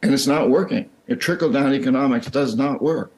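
An older American man speaking as an interview guest, reflective and halting, often repeating his words as he explains. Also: medium pitch, a little mellow. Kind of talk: interview conversation.

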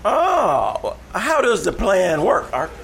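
A good-natured, slow-witted barfly in an old-time radio comedy. The voice is high and gravelly, with thoughts arriving slowly and dimly. Clear noise sits on the live stage recording. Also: male voice, wildly swooping pitch, fairly bright tone, full character voice. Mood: dim, amiable. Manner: slow, dim, drawn-out delivery.

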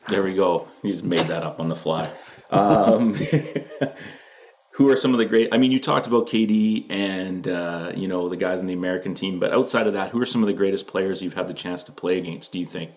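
A man with a low-pitched voice hosts a sports podcast. He asks questions casually and jokingly, in loose, slangy language. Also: moderate pace, a little mellow. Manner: informal, jokey, slangy. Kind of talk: casual interview chat.

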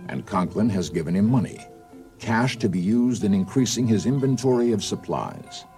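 Male radio drama narrator, mellow, gravelly, solemn, measured, scripted. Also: lowish voice, animated, soft background music. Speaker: program host narrator.

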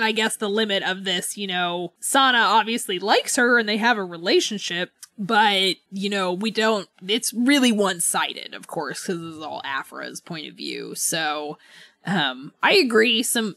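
A woman's voice: fairly low pitch, bright, forceful tone. A woman co-hosting a podcast, chatting casually and in a rambling way about books, often trailing off. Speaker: podcast co-host. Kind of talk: casual book club discussion.

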